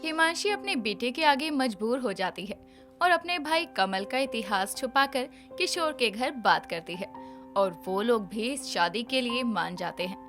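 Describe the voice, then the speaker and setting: A woman's voice: a little high, bright, forceful tone. A woman narrating a scripted story as its narrator.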